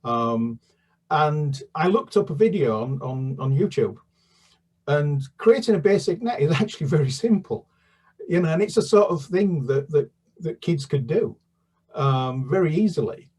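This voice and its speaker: A man's voice: on the high side, expressive, normal speed, darkish tone, husky, recorded from a distance. A man, a children's author, answering interview questions in a hesitant, rambling, conversational way.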